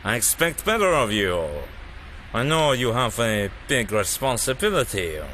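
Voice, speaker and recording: A man acts out a ruthless dictator for an audio drama, his sharp voice sweeping widely between quiet menace and command. He sounds controlling and dangerous, with noise behind him. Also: mid-range voice, sing-song, a little slow, low-quality recording. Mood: cold menace.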